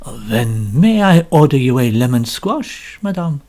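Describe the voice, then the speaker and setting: Storybook detective: measured, courtly little man with a French accent, read by the narrator. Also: medium pitch, very animated, darkish tone. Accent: French-flavoured. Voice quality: courtly, formal. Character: Hercule Poirot, polite small Belgian detective.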